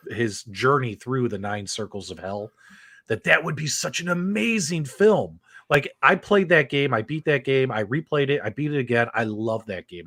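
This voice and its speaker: A man co-hosting a casual panel chat, talking with eager enthusiasm and big swings in pitch. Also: pushed and bright, no room sound. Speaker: co-host.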